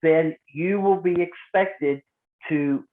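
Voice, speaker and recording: A man giving an instructional talk to a group as a trainer, speaking emphatically and deliberately and repeating points for stress. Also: on the high side. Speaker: emergency radio volunteer trainer. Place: indoor room, live training session. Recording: roomy echo, phone-like narrow band.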